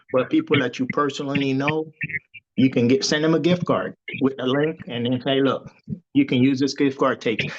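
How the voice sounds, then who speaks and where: Man, casual sales tips, rambling and repetitive, muffled call audio. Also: medium pitch. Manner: relaxed, rambling, repeats words. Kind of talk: casual advice sharing.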